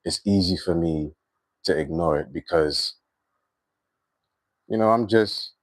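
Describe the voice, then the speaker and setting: A man with a Trinidadian accent hosting a livestream, talking in a reflective, unhurried way with lots of "you know" and "right". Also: sits low, no echo, studio quality. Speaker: livestream host. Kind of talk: spiritual livestream monologue.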